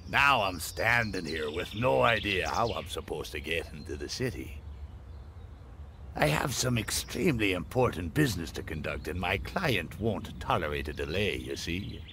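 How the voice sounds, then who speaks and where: An actor playing a shady, flattering old seaman in a video game, with a sly, ingratiating manner. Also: low-pitched, normal speed, background noise. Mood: wheedling. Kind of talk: video game dialogue acting.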